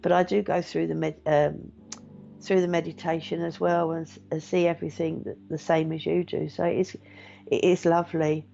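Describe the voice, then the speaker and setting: A middle-aged woman, a meditation teacher and medium, chatting gently and reassuringly to viewers with many fillers. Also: deep voice, little pitch movement, normal speed, gravelly, slight background noise. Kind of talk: casual live-stream chat reading viewer comments. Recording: dull lo-fi stream audio.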